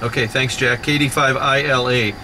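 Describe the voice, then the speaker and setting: A man runs a ham radio contest from inside a moving vehicle, speaking into a mobile radio mic at an ordinary mid-range pitch. He fires off call signs in the phonetic alphabet and confirms each contact in a brisk, repetitive rhythm, dropping in frequent "uh"s. Heavy noise from the road and the radio sits under everything. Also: fairly even intonation. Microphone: mobile radio microphone. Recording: loud background noise from a mobile radio station.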